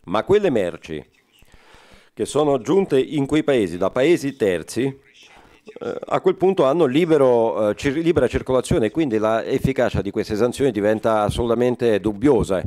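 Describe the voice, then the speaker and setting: A man interpreting a forum speech live, halting often with fillers and correcting himself mid-phrase. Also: medium pitch, lively intonation, mellow. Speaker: conference interpreter.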